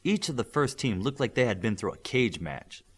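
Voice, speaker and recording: A man narrates a fiction audiobook in a mid-range voice. His delivery is animated, with pitch that moves freely as he reads the scripted story. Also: normal speed, breathy edge. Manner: animated reading that acts out dialogue.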